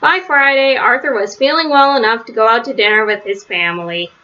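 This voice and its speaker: A woman narrates a children's picture book aloud. Her voice is fairly high and very bright. The recording sounds a little dull and lo-fi. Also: a little fast. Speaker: storybook reader.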